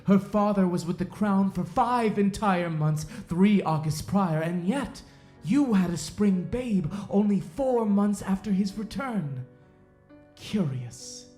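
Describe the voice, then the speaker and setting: An actor playing a stern, accusatory seventeenth-century sheriff in an audio drama, speaking stiffly and formally. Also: male voice, high-pitched, lively intonation, a little mellow, soft background music. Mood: accusing, menacing. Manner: stiff, formal, halting, deliberate. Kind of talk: period audio drama acting.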